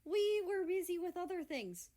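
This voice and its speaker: A woman's voice: very high voice, very animated, moderate pace, warm tone, voice pushed up. A woman voicing an affectionate, teasing man who is a protective older brother in a read-aloud story. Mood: protesting.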